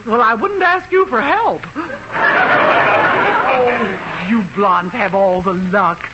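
A woman's voice: medium pitch, takes it slowly, over a noisy crowd, lightly acted. An actress playing a nosy, wisecracking American married woman in a 1950s radio sitcom, gushing and wry. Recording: muffled old radio recording, faint noise.

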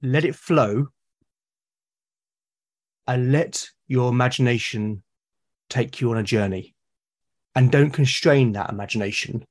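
A man's voice: medium pitch, darkish tone. A British man, a podcast guest, talking in a reflective, casual way and often restarting and repeating words mid-sentence. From Britain.

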